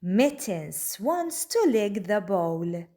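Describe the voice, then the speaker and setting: A woman reading a children's storybook aloud in a deliberate, expressive way. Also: medium pitch. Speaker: children's book reader. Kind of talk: read-aloud storybook narration.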